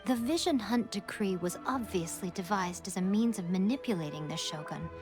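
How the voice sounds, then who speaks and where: A woman voicing a sly, composed shrine maiden in a video game, with measured, elegant, teasing delivery and a superior air. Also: medium pitch, lively intonation, close to an everyday voice. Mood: composed, calculating. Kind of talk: English video game voice acting. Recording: studio voice over background music.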